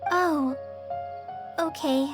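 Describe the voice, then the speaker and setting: A woman's voice: sits high, extremely slow, over music. A woman playing an eager, modest teenage girl apprentice in a video game, youthful and earnest with a slightly hesitant manner. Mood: let down. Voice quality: youthful, girlish.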